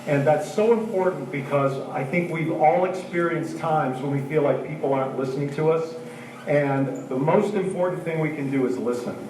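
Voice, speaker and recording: An older councilman lays out his duties in a calm, orderly way. His slightly raised voice carries from a distance through a reverberant hall, with faint noise behind it. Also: fairly high pitch, moderate pace. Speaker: city council member. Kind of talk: candidate speech. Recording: echoey hall from far off.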